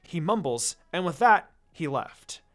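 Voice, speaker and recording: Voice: medium pitch, sing-song, a little slow. A narrator reading a fan fiction story aloud from a script, dialogue included.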